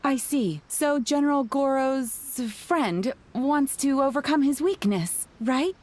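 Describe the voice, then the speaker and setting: An actress playing a composed, courteous and shrewd shrine attendant in a video game dub, speaking deliberately. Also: female voice, high-pitched, animated, a little mellow. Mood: polite, curious. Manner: polite, deliberate, advisory.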